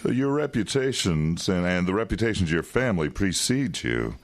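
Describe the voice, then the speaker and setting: An actor playing a wry, quietly menacing intelligence agent in an audio drama, talking in an insinuating, condescending way. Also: male voice, deep voice, very animated, normal speed. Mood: pressuring, cajoling. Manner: insinuating, condescending, with chuckles and hesitations.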